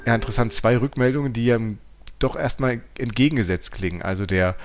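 A man's voice: medium pitch, fast talker, faint music behind. A man co-hosts a discussion podcast, reading listener mail and analysing it in a questioning way. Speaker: podcast co-host.

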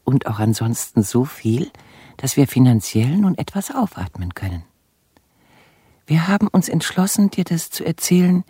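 A woman acting in a radio drama as a gentle, genteel letter writer, reading in a tender, formal, breathy voice. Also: low-pitched, dry recording, full character voice. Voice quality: breathy, husky. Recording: dry close voice over music.

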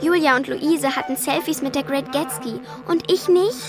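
An actress playing an excitable, stubborn young schoolgirl in a German children's radio play. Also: sits high, moderate pace, over music. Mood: matter-of-fact narrating. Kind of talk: German children's radio play acting with first-person narration.

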